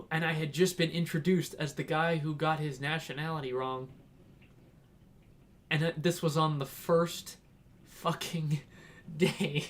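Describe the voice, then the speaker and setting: A man's voice: a little high, slight background noise. A young man from Washington telling a casual, rambling story, laughing and acting out quotes. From Washington, United States. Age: young adult. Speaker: vlogger.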